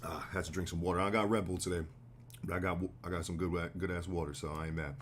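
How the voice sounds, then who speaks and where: An American man with a slightly hoarse voice, hosting a live trading stream in a relaxed, slangy way. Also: low voice, fast, slight background noise. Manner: relaxed, loose, slangy explaining with fillers. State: slightly hoarse, getting sick. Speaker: trading livestream host. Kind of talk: casual live market commentary.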